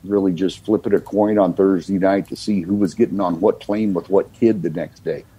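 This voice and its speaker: An older man from the United States, a singer-songwriter guest, telling his story in a relaxed, reflective way with many "you know" fillers. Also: low-pitched, normal speed, slightly rough, highs cut off.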